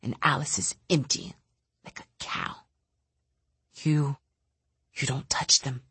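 A woman voicing a frail, nervous young male telepath who wails and snaps, husky-voiced. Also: very deep voice, fairly even intonation, takes it slowly, fairly bright tone, no echo, voice pushed down, exaggerated voice. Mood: bitter, hushed. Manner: frantic, wailing, then bitter and snappish.

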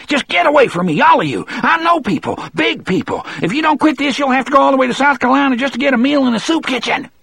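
A man's voice: very high-pitched, expressive, unhurried, hardly any pauses, fairly bright tone, dry recording, voice pushed up, full character voice. A man voicing a spoiled, childish young man, protesting petulantly in a strained, trembling voice for an audiobook. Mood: panicked, indignant. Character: spoiled, childish young man, panicked bully turned tearful.

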